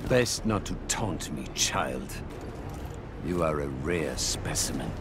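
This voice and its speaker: An icy, mournful game villain. He is deep and slow, formally menacing, with loud noise and clicks around him. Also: lively intonation, full character voice. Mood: cold menace. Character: cold, sorrowful scientist villain with an icy heart. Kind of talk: video game fight banter.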